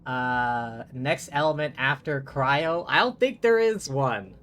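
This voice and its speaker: A man's voice: mid-range voice, lively intonation, takes it slowly, a bit bright. A man livestreaming a video game, reading dialogue aloud and adding wry, casual commentary. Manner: wry, sweary asides.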